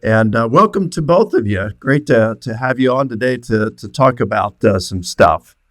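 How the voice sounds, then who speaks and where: A man's voice: mid-range voice, expressive, normal speed, no room sound, clean studio sound. A man, a professor hosting a casual interview and introducing guests. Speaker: host, university professor.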